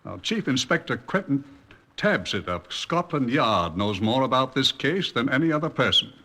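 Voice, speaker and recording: A man's voice: mid-range voice, very animated, moderate pace, bright, forceful tone, full character voice. A British man playing a formal, wry police museum curator briefing listeners in a radio crime drama. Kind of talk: 1950s radio drama presenting.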